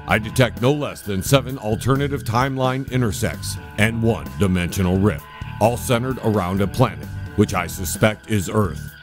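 A calm, logical science officer from another world, as a man plays him. He is formal, exact and detached, in a spoof space audio play with music behind. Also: on the low side, normal speed, close to an everyday voice. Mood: detached, analytical. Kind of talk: sci-fi comedy audio drama acting.

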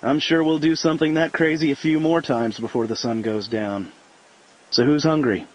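A man's voice: a little high, fairly even intonation, normal speed, highs cut off, lightly acted. A man playing a smart-alecky young American army private in a war game. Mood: upbeat.

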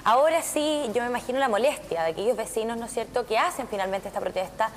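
A woman's voice: on the high side, lively intonation, fast talker, slight background noise. A woman commenting emphatically as a studio news anchor.

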